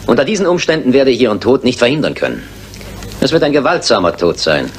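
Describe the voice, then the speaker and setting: A man acting a brisk, commanding, matter-of-fact detective in a German radio crime play. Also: mid-range voice, sing-song, natural voice. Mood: insistent, assured. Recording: noisy old radio play recording.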